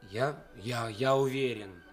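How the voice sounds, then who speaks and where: An actor playing a nervous young suitor in a radio play, halting and deferential, with bursts of cynical bravado. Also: male voice, medium pitch, close to an everyday voice. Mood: nervous, hesitant. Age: young adult. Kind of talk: theatrical radio play acting. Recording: 1980s radio play with faint music.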